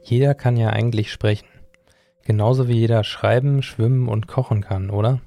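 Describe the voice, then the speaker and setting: A man's voice: mid-range voice, fairly even intonation, normal speed, gravelly, warm tone, faint music behind. A young adult man hosting a solo podcast, reading from prepared notes. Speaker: podcast host. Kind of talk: scripted solo podcast narration.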